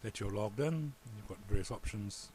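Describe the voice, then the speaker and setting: New Zealand man with a low voice. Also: normal speed, a little hiss or hum. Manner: relaxed, rambling, with restarts. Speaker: tutorial presenter. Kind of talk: casual screen-recorded tutorial.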